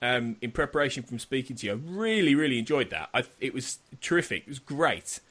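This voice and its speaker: An eager male podcast host with a lively, swooping pitch. He chats enthusiastically with his guest, laughing often and stacking restarts. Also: brisk. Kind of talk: enthusiastic interview chat.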